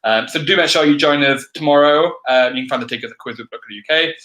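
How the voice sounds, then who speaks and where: Man, online quiz host, bright, crackly throat, rambling ums. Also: a little high. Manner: chatty, many ums, brisk instructions. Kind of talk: live-stream housekeeping announcements.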